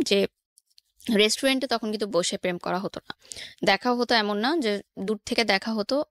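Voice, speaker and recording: A woman's voice: fairly high pitch, a bit bright, dry recording, clean studio sound. A woman with a Bangladeshi accent telling a personal story as an interview guest, mixing in English words. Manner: code-mixes English words into speech.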